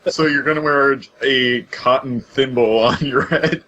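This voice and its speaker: A man's voice: medium pitch, a little slow, highs cut off, a crowd in the background. A man co-hosting a casual chat, riffing jokily and swearing freely. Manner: jokey, sweary, acts out quips. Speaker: co-host. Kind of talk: casual chat, joking riffs.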